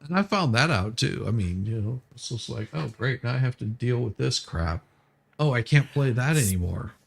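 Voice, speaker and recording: A man's voice: medium pitch, lively intonation, normal speed, vocal fry. A man chatting casually as a live stream co-host, thinking aloud and repeating words as he goes. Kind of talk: casual chat.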